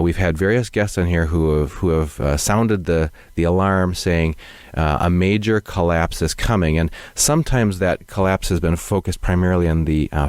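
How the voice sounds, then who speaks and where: A male host welcoming a guest and asking long, measured questions, often pausing with fillers. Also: low voice, a little mellow, no echo. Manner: measured, wordy, many fillers. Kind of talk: interview hosting.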